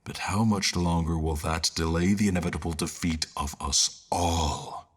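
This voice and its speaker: An actor playing a grave war general, speaking in a solemn, deliberate and theatrical manner in a fantasy audio drama. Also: male voice, low-pitched, steady tone, husky. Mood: grave, resolute. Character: grave male war general, devout seeker of a lost dream. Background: music.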